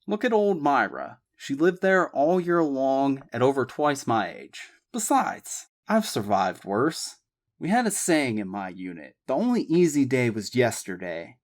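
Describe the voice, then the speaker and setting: Brief: calm ex-soldier, even and steady delivery, voiced by the narrator. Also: male voice, mid-range voice, measured pace, pitched above the performer's own voice. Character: calm confident ex-military man, easygoing.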